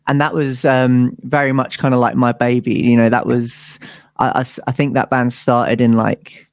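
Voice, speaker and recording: A man's voice: mid-range voice, little pitch movement, moderate pace, dry recording, studio quality. A man with a British accent in a casual interview, telling stories as a musician in a rambling, informal way. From United Kingdom.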